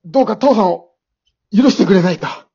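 Swoopy, husky awkward father played by a man. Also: sits high, animated, fairly quick, warm tone, lo-fi sound, heavily acted. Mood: pleading. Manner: hesitant, stammering, easily flustered. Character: awkward, remorseful middle-aged father seeking his family back. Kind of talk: amateur short radio drama acting.

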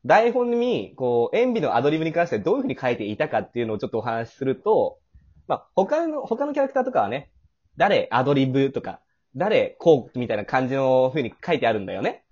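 A man's voice: medium pitch, big pitch swings, quick pace, a little mellow. A man hosting a casual chat show and interviewing his guests, recorded in dull, muffled lo-fi audio. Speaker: host and scriptwriter.

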